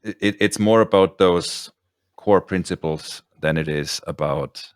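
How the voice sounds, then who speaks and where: A man's voice: sits low, measured pace, clear, resonant tone, no echo, studio quality. A man hosting a podcast interview, chatting casually and rambling through his points. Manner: rambling, with many ums and restarts. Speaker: podcast host.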